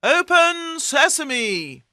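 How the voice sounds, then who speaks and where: A woman plays a greedy, demanding storybook brother. The voice leaps high and loud, insisting and exclaiming with big swings, slow and deliberate. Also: big pitch swings, dry recording, clean studio sound, full character voice. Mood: amazed, greedy. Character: Kasim, greedy demanding brother, grown man. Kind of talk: storybook character voice.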